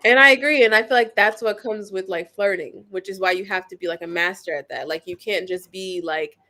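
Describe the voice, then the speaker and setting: A woman's voice: mid-range voice, fairly quick, sharp tone. Blunt woman, frank casual talk. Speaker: podcast co-host. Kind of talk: casual chat.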